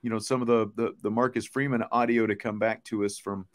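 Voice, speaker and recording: A man's voice: on the low side, flat delivery, fairly quick. Man hosting a casual sports podcast. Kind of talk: casual sports chat answering viewer comments.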